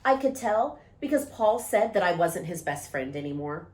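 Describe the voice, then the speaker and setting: Woman reading a children's story, very lively intonation. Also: lowish voice. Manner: animated storytelling with drawn-out emphasis. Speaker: teacher reading a picture book aloud. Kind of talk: expressive read-aloud of a children's story.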